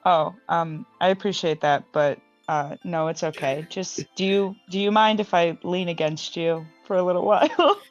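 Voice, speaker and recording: A woman's voice: fairly low pitch, normal speed, hardly any pauses, soft background music. A woman playing a tabletop game, talking emphatically, laughing often and swearing. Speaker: player. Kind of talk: casual tabletop roleplay. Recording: dry, dull lo-fi audio.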